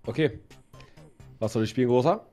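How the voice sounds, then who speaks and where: A man's voice: medium pitch, brisk, warm tone, soft background music. A man teammate in casual gaming chat, laid-back and teasing, with slangy banter.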